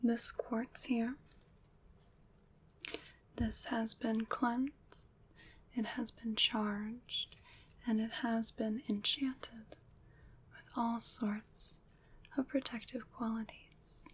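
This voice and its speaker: A woman plays a gentle witch who soothes and protects. Her voice is an extremely breathy, unvoiced whisper. She takes her time, speaking slowly and reassuringly in an intimate ASMR roleplay style. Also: on the high side, little pitch movement, heavily acted, low-quality recording. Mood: soothing, protective. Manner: soft, slow, reassuring, measured.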